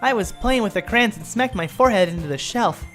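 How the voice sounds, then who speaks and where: A man voicing a sweet, clumsy teenage girl from a visual novel game, speaking softly and earnestly. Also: high voice, expressive, brisk, soft background music, voice pushed up. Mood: sheepish.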